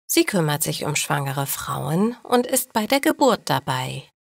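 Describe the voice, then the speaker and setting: A woman reading a scripted story for language learners in a deliberate, careful storytelling manner. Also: deep voice, big pitch swings, vocal fry, no echo, clean studio sound. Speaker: narrator of German listening lessons.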